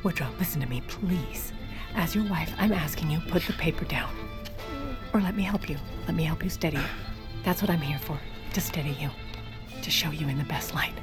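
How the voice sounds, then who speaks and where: A woman's voice: low-pitched, brisk, music in the background, natural voice. An actress playing a strong-willed, controlling First Lady in a period audio drama, with an insistent, clipped way of speaking. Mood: pleading, firm.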